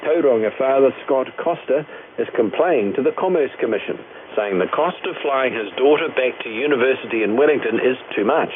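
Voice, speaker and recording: A man's voice: mid-range voice, a little fast. Male New Zealand newsreader on noisy shortwave. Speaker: radio news presenter. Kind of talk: scripted news bulletin reading. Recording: noisy narrowband shortwave radio broadcast.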